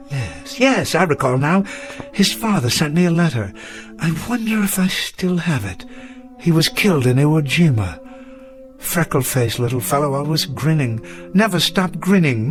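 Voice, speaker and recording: A man's voice: fairly high pitch, sing-song, unhurried, faint music behind. An older man acting in a radio drama as a weary old professor full of regret, speaking in a formal, literary way. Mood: fond reminiscing. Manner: formal, literary, reflective speech.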